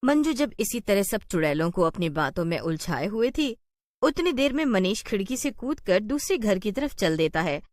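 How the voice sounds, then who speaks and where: A woman storyteller reading scripted narration for an animated story. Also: mid-range voice, moderate pace, no echo, studio quality.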